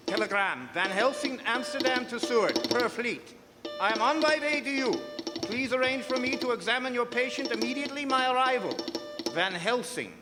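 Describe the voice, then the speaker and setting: An old professor of medicine, acted by a man, grave and urgent. His voice is very high and swings widely in pitch, echoing in a theatre hall with music behind. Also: lively intonation, moderate pace. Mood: brisk, formal. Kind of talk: radio drama acting. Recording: echoey hall, live stage performance.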